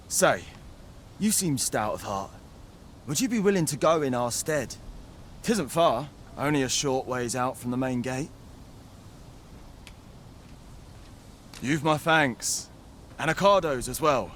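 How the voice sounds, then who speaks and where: A man's voice: fairly high pitch, a bit bright, slight background noise. A man playing a dutiful, earnest town soldier in a fantasy game, speaking courteous archaic English. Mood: worried, pleading.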